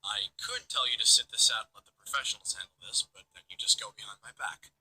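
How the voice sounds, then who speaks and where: An actor playing a stern, wry male police detective in a visual novel game, with a husky voice and firm, matter-of-fact authority. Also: lowish voice, quick pace, sharp tone, exaggerated voice, rough recording. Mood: stern, measured.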